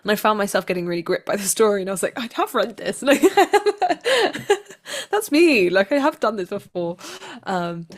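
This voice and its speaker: A woman's voice: mid-range voice, very animated, normal speed. A woman speaking casually as an actor being interviewed, reflective and self-deprecating.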